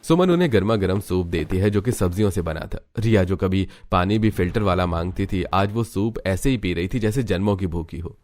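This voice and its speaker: A man narrating a Hindi cartoon story in an even storytelling voice. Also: low voice, brisk, hardly any pauses, a little hiss or hum.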